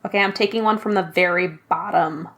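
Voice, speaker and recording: A woman who is a guest player in a tabletop game, chatting casually and laughing easily. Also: fairly low pitch. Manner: lively and quick to laugh. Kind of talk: casual game play chat.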